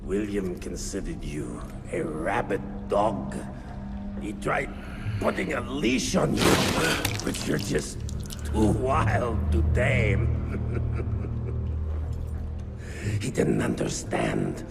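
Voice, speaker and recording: A sneering, unhinged vampire villain from a video game. The gravelly voice drags its words slowly and mockingly, leaping wildly in pitch, with loud music behind. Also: male voice, huge pitch swings, exaggerated voice. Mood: mocking, menacing. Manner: mocking, drawn-out, theatrical delivery.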